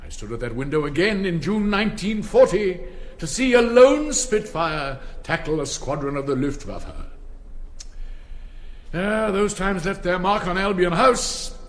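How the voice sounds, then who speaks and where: An actor playing an elderly, pompous public-school headmaster with an upper-class English accent, declaiming ceremonially in a radio drama. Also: male voice, high voice, expressive, measured pace. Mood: solemn, nostalgic. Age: older. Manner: pompous, declamatory, sonorous oratory with stern asides.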